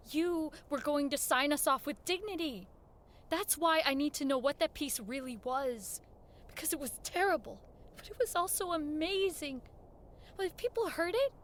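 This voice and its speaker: An actress playing an anxious, earnest woman who recounts a horrifying ordeal in an intense, theatrical audio drama style. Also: high voice. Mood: earnest, apologetic. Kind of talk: dramatic first-person horror narration with acted dialogue. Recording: music under the voice. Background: dramatic music.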